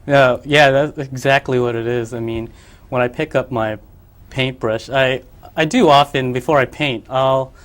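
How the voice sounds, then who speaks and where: A man's voice: mid-range voice, steady tone, a little slow, fairly bright tone. A young man from the Philippines, a painter being interviewed on a local cable show, who talks in a hesitant way full of fillers and restarts. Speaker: artist guest. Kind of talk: casual interview.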